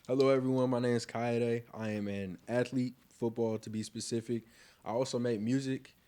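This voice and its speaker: A man in his twenties chatting on a podcast in a relaxed way, picking up speed when he explains something. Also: medium pitch, moderate pace. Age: 20s. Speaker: podcast co-host. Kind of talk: casual chat.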